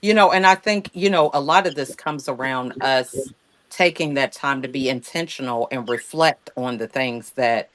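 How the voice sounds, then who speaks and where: A woman's voice: extremely low pitch, normal speed, a little hiss or hum. An American woman hosting an interview over a video call, affirming her guest and talking casually with lots of fillers. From United States. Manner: warmly affirming, frequent "you know" fillers. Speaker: interview host.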